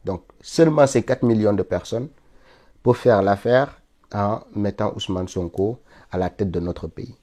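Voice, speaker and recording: A man's voice: fairly low pitch, a little slow, dark tone. A man from Senegal with a cold, giving an insistent live political monologue that climbs to indignant peaks. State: stuffy with a cold. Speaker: political commentator streaming live.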